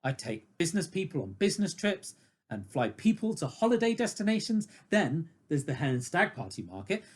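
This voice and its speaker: A woman's voice: low voice, fairly quick, husky, exaggerated voice. A woman with a British accent playing an eager, apologetic, ambitious young man in a comic radio play. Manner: excitable, earnest, apologetic.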